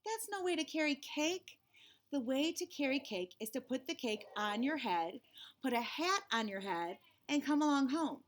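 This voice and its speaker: A woman reading aloud plays an exasperated mama from a storybook. Her voice is high and emphatic, stretching words out as she scolds and patiently instructs her foolish child. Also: expressive, moderate pace. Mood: exasperated scolding. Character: exasperated, scolding, loving Southern-folktale mama of a silly child.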